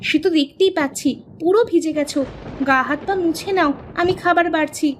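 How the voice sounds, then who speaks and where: A woman voicing a bossy, teasing housewife in an expressive Bengali cartoon style. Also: high voice, a little fast, slight background noise, natural voice. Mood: caring, teasing.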